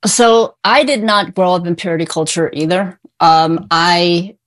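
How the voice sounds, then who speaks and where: Female therapist guest, middle-aged, lowish pitch, insistent and opinionated, stressing points by repetition. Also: a little slow. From born in Korea, immigrated at age five. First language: English. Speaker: guest, mental health therapist. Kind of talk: opinionated conversational interview answers.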